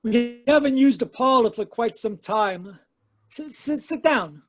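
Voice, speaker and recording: A man's voice: extremely high pitch, lively intonation, measured pace, a bit bright, soft background music, exaggerated voice. A man playing a stammering old eccentric. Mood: nervous. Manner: stammering, hesitant. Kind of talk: amateur radio drama reading. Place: home over video call.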